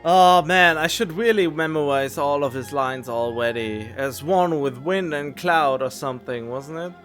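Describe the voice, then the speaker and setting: A man's voice: on the high side, a little slow, a bit bright, soft background music. A man giving casual gameplay commentary as a streamer, musing to himself in a rambling way. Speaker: game streamer.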